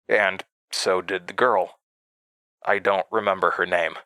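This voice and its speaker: A haunted man narrating a horror audio drama. He speaks slowly in a lowish, tense voice, grave and deliberate, with dramatic pauses. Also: bright, forceful tone, dry recording, heavily acted. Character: haunted man recalling his horrifying past. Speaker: narrator and protagonist.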